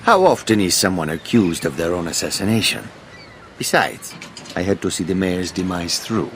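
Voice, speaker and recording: A power-mad male villain in a battle suit, pompous and sure of himself. His pitch swings widely through grand speeches. A faint hiss of noise sits behind him. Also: expressive, moderate pace, fairly bright tone. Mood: scheming. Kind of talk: video game cutscene acting.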